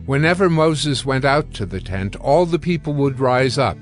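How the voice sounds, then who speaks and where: A man's voice: medium pitch, lively intonation, moderate pace. A man narrating scripture as a dramatic, scripted audio production. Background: cinematic music.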